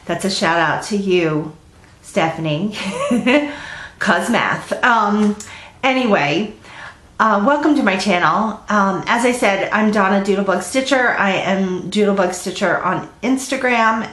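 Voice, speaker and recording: A woman's voice: mid-range voice, fairly bright tone, reverberant. A middle-aged woman with a stuffy cold, chatting at home in a rambling, casual way with lots of ums as she hosts her stitching vlog. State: congested with a cold. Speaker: flosstube host. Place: at home, indoors.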